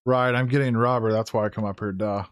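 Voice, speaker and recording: Hoarse man rambling over gameplay. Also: lowish voice, steady tone, fast, dry recording. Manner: rambling, thinking aloud with fillers and self-corrections. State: hoarse, losing his voice. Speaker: gaming YouTuber. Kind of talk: casual Let's Play commentary.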